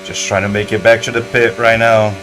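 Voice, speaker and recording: A man's voice: on the low side, little pitch movement, brisk, noisy background. A man in casual voice chat during a racing game, laid-back with short agreeing replies and cursing at his own mistakes. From Brazil. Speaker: sim racing player. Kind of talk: casual voice chat while gaming.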